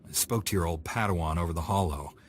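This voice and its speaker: An actor playing a confident young Jedi knight with a wry, dry manner. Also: male voice, sits low, fast talker, lightly acted. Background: game score music.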